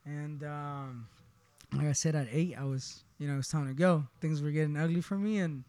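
Barbershop owner from Honduras, twenties, easygoing storyteller, smooth tone. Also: male voice, fairly high pitch, steady tone, moderate pace, darkish tone, no echo. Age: 20s. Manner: laid-back, often says "you know what I mean". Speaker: podcast guest, barbershop owner. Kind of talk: casual personal storytelling.

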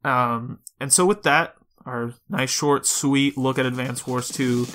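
A man's voice: medium pitch, a little slow, fairly bright tone. A man chatting casually as co-host of a gaming podcast, informal and prone to casual swearing.

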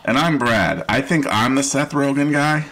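A man co-hosting a casual podcast chat, with a gruff voice and a stammering, hesitant way of talking. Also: mid-range voice, normal speed, fairly bright tone. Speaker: podcast co-host.

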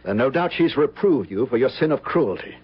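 A man's voice: medium pitch, lively intonation, brisk, slightly rough. A man playing a troubled, headstrong guest in an old radio mystery, speaking and narrating with dramatic intensity. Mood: angry, demanding. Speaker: lead actor and narrator. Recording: muffled old radio broadcast.

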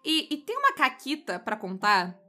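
High-pitched woman, Brazilian accent, bright forceful tone, casual podcast banter, playfully bossy storyteller. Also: huge pitch swings, moderate pace, faint music behind. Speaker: podcast co-host.

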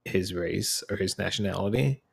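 A man chatting casually, with a halting, informal delivery. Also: sits low, vocal fry, breathy edge. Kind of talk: casual chat.

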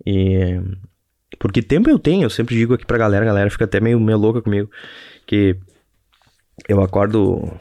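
A man's voice: on the low side, a little fast, pauses often, dark tone, no room sound. A young man with a Rio Grande do Sul accent, hosting a rambling, casual solo podcast with many restarts and tag questions. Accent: Rio Grande do Sul Portuguese. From southern Brazil. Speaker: podcast host.

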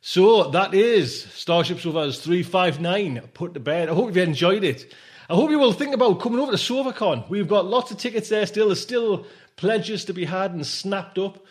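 A man's voice: high-pitched, animated, normal speed. A man hosting a podcast, chatting casually and effusively, often repeating and restarting his phrases. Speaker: podcast host. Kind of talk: casual, rambling sign-off chat.

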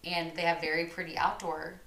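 A woman's voice: on the low side, flat delivery, brisk, fairly bright tone. Chatty woman in an echoey room. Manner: chatty, uses "like" often. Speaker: podcast co-host. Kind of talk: casual chat.

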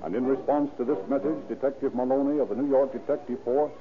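A man narrating a scripted old-time radio crime drama in a formal, dramatic, measured manner. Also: medium pitch, steady tone, over music. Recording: tinny old radio recording.

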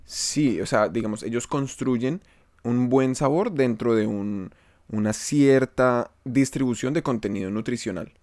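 A man's voice: mid-range voice, a little slow, darkish tone, no room sound, studio quality. A man co-hosting a casual podcast, joking, laughing and swearing freely. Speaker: podcast co-host. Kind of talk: casual banter.

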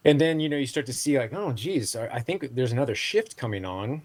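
A man's voice: medium pitch, animated, brisk, a little mellow, no echo. A man in his forties, a podcast guest, talking casually with many fillers and restarts. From Southeast Asia. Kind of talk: casual interview talk.

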